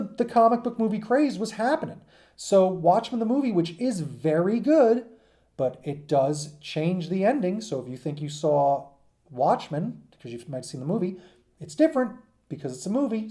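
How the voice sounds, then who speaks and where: A man's voice: fairly high pitch, warm tone. A man giving an informal, unscripted lecture as a teacher, talking conversationally and emphatically, often checking in with his listeners. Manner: conversational, checks in with "right?" and "okay?". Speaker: teacher presenting a comics history lesson.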